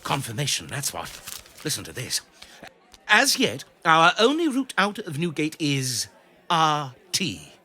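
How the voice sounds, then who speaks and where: An actor playing a vain, scheming, rakish captain in a period audio drama, with a pushy, mocking, theatrical manner. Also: male voice, on the high side, wildly swooping pitch, unhurried, sharp tone, exaggerated voice.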